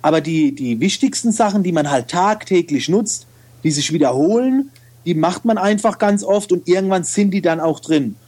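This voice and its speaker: A man's voice: high-pitched, lively intonation, normal speed, a little mellow. A man with a regional German dialect, talking casually as an interview guest with many fillers and restarts. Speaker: guest, photo retoucher and video trainer.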